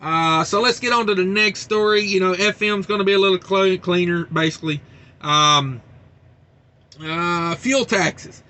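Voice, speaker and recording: A man's voice: high voice, measured pace, bright, forceful tone. A man hosting a trucking news show, reading stories and rambling into joking asides. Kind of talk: casual news commentary.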